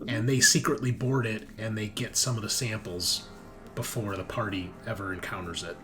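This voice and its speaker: A man acting as game master, explaining things in a casual recap style, with emphatic starts that trail off quieter. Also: lowish voice, steady tone, moderate pace, music in the background. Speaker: game master of a tabletop roleplaying show.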